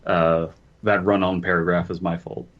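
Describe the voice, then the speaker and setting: A man's voice: sits low, level tone, normal speed, lo-fi sound. A man co-hosting a podcast, bantering casually and stumbling as he reads a story aloud. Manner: stumbles while reading aloud. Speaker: podcast co-host.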